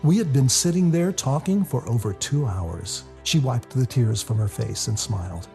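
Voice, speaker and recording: A man reading a novel aloud as a first-person dramatic narrator, in a confessional, expressive storytelling manner. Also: medium pitch, normal speed, gravelly. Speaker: author reading their own novel. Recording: music under the voice. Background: music.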